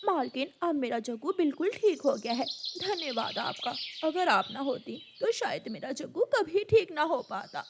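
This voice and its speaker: A woman's voice: high voice, very animated, moderate pace, hardly any pauses, noisy background. A woman voicing a poor crow maid and mother, anxious and pleading, in a children's cartoon. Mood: humble. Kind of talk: Hindi children's moral cartoon dialogue.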